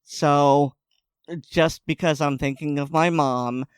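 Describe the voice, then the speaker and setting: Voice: medium pitch, fairly even intonation, slow, smooth, no room sound, studio quality. A podcast co-host chatting casually, rambling and restarting sentences, laughing often. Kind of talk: casual chat.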